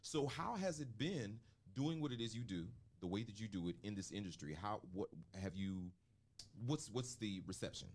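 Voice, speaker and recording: A man hosting a casual radio interview in a studio, talking loudly and effusively, close on the mic. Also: lowish voice, moderate pace, slight background noise. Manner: loud, effusive, animated. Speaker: radio host.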